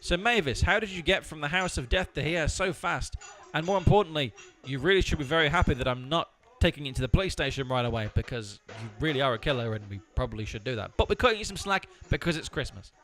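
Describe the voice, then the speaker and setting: A man's voice: a little high, expressive, quick pace, few pauses, a bit bright, faint music behind. A man playing a dry, sardonic, slightly bossy straight man in an amateur comedy audio drama. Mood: reproving.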